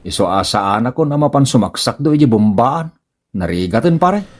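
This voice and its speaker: A man acting a henpecked village husband in an Ilocano comedy radio drama. His Ilocano-accented voice swings widely in pitch as he stammers, grunts and hems, easily flustered. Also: very animated, a little fast. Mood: rueful. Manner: stammering, hemming and hawing, grunting exclamations.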